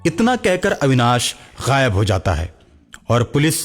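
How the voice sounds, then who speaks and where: Male narrator, mid-pitched voice, scripted storytelling, music in the background. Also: huge pitch swings, a little slow.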